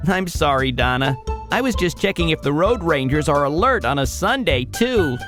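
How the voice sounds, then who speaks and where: A woman's voice: deep voice, very animated, moderate pace. A woman voicing a mischievous little boy tractor in a children's cartoon, childlike and given to melodramatic cries. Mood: sheepish. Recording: loud music behind the voice.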